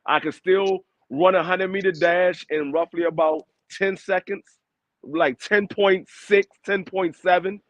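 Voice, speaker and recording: High-voiced joking man in his forties. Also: steady tone, unhurried, dry recording. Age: 40s. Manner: joking, emphatic, laughs a lot. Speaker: podcast co-host. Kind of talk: casual chat.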